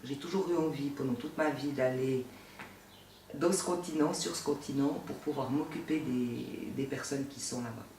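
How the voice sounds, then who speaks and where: A man's voice: medium pitch, normal speed, a little mellow. A man answering informally in a testimonial interview. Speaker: nurse, volunteer. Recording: roomy, distant mic, faint noise.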